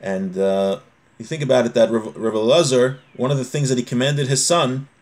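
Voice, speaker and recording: A man's voice: medium pitch, fairly even intonation, moderate pace, darkish tone. A man in his forties teaching an informal religious lesson, reading aloud and explaining, often repeating and rephrasing. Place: small home room, indoors.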